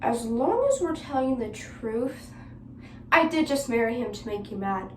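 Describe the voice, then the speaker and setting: A woman's voice: fairly high pitch, animated, unhurried, a little mellow. A woman playing a defensive, lovestruck former star actress in a radio play. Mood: earnest. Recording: distant, echoey, noisy room.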